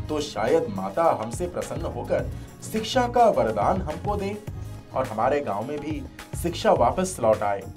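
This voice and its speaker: A man's voice: fairly high pitch, darkish tone. A man playing an earnest, respectful villager in a cartoon moral story, speaking politely and formally.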